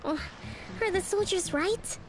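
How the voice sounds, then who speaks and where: A woman playing a gentle, thoughtful young female strategist in a video game, speaking politely and with measured care. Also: very high voice. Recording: loud game music behind.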